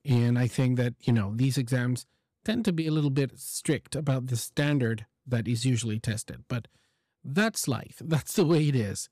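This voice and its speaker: A man's voice: medium pitch, moderate pace, warm tone, husky, dry recording, clean studio sound. A man hosting and explaining to listeners in an informal way, often repeating and rephrasing himself. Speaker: host, English exam teacher giving feedback. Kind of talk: casual explanatory podcast-style talk to listeners.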